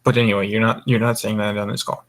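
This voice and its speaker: A man's voice: lowish voice, level tone, fast talker. A drunk young man hosting a casual group chat, rambling and swearing as he goes. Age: young adult. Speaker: voice call host.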